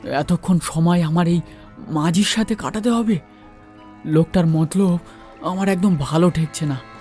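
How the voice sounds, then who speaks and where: A man's voice: fairly high pitch, unhurried, darkish tone, faint music behind. A man narrating a first-person horror story and acting out its dialogue.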